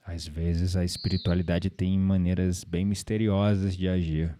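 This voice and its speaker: An actor playing a gentle, earnest spiritual confidant with a Brazilian accent in an audio drama. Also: male voice, low voice, little pitch movement, normal speed, slight background noise, natural voice. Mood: gentle, mysterious.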